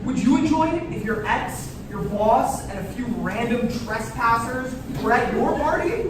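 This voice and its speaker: A man's voice: very high voice, lively intonation, a little slow. An actor playing an exasperated, sarcastic young man at his own birthday party in a staged comedy reading. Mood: fed up. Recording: echoey distant room recording, hissy noise.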